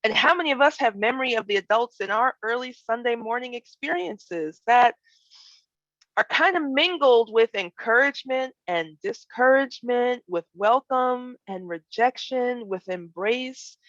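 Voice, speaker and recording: A woman guest preacher giving an expressive, reflective sermon that mixes personal storytelling with emphasized phrases and questions to her listeners. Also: a little high, moderate pace, dry recording. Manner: expressive, acts out imagined speech and emphasizes key words. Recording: dull, lo-fi online worship audio.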